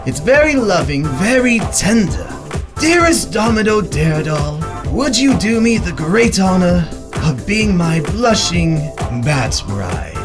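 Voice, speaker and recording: Psychopathic clown villain, gleeful, mock-affectionate, wildly animated pitch, radio drama, music behind. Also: male voice, slow pace, exaggerated voice. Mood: wheedling, grandiose.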